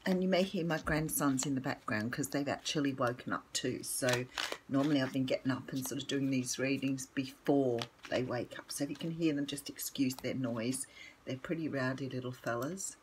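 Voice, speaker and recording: An older Australian woman with a croaky morning voice, chatting casually and rambling through a tarot reading at home with lots of ums. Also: rumbling low, normal speed, a little hiss or hum, low-quality recording. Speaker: tarot reader.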